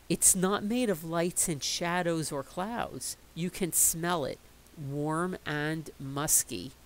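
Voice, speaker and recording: A middle-aged woman reading a picture book aloud in a slow, expressive storytelling voice. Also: low-pitched.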